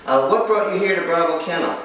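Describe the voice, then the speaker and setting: A man presenting and interviewing for a dog training video as host, with a firm, instructive manner and short, paused phrases. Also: fairly high pitch, fairly bright tone. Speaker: kennel owner and host. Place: room in a home. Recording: echoey room, distant mic, faint noise.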